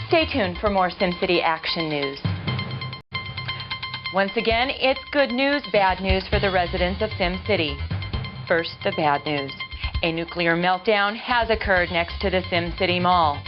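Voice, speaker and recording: An actress playing a polished, flippant American TV news anchor in a mock game newscast, with a deadpan delivery. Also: female voice, fairly low pitch, unhurried. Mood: deadpan, brisk. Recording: dull lo-fi audio under music. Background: music.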